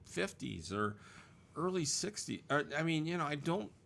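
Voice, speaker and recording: A man's voice: on the high side, sing-song, moderate pace, creaky, fairly bright tone. A man in his late sixties, a guest in a casual chat, talking bluntly and swearing freely. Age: 60s.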